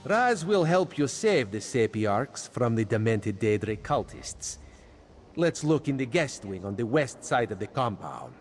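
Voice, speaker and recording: An actor playing a sly, playful catlike agent in a video game, talking theatrically about himself in the third person. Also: male voice, medium pitch, sing-song, unhurried, soft background music. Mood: wry, resolute. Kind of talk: video game character acting.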